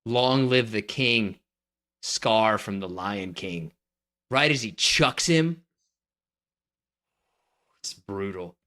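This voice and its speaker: A man's voice: lowish voice, animated, very slow, long pauses, sharp tone. A man co-hosting a casual podcast, skeptical of his partner's list and arguing his own picks at length. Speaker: podcast co-host. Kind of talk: casual debate chat.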